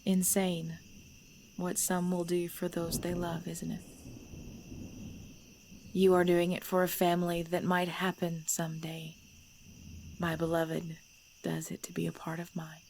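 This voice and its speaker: A woman playing a slow-spoken, low-voiced ancient vampire woman. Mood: solemn, wistful. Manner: measured, formal, deliberate phrasing. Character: ancient female vampire, composed, knowing, darkly playful. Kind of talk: supernatural ASMR audio drama acting. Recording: steady background noise with chirping crickets.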